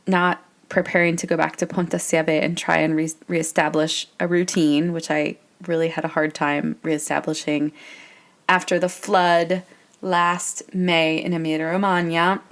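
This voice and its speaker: Middle-aged American woman, podcast host, slightly low voice, talks in a chatty, wandering way. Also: flat delivery, moderate pace. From United States. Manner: chatty, reflective, digressive. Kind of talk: personal podcast monologue.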